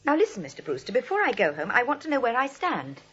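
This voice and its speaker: An insistent, impassioned young woman from a 1940s radio comedy. Her high voice swoops dramatically with emphatic delivery. The recording sounds old and dull. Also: wildly swooping pitch, fast talker, a bit bright. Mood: indignant, pleading.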